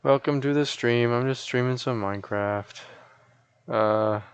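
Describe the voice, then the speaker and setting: A man's voice: mid-range voice, measured pace, clear, resonant tone, dry recording, dull audio. A sleepy man chatting casually and in a rambling, folksy way as he live-streams a game.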